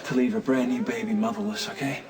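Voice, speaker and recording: An actor playing an urgent, determined rescuer in a TV soap opera, talking with insistent intensity. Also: male voice, mid-range voice, fairly even intonation, quick pace, distant mic, crowd noise, lightly acted. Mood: urgent, reassuring. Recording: noisy lo-fi TV soap rip.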